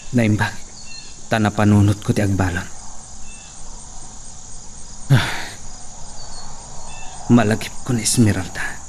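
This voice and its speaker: A man acting a tired, brooding fellow in an Ilocano radio drama. His voice sits low as he thinks aloud, with faint crickets behind him. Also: steady tone, takes it slowly, pauses often, a little mellow. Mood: pensive. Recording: faint background noise, cricket ambience.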